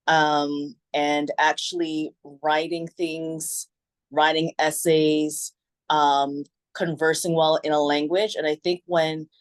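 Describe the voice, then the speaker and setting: A woman sharing a thoughtful point in a group discussion, pausing on "um". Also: sits low, no echo. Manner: thoughtful, with frequent "um". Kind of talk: discussion contribution.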